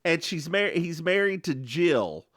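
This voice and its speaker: Animated man recapping with campy flair. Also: unhurried, no room sound, clean studio sound. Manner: animated, campy storytelling with sudden high-pitched impressions. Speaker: podcast co-host. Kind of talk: casual chat recapping a TV episode.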